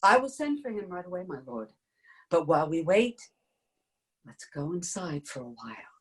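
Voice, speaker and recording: An actress playing a queen calmly reassuring her husband, sometimes dropping to a whisper. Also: on the low side, moderate pace, breathy edge. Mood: soothing. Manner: calm reassuring, drops to whisper. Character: queen soothing her husband, adult woman. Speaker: actor in dramatic reading.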